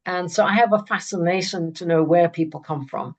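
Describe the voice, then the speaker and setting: Host, woman from Zambia, slightly low voice, eager, stumbles and restarts often. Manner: halting, many restarts, enthusiastic. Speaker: webinar host. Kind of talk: hosting an online webinar.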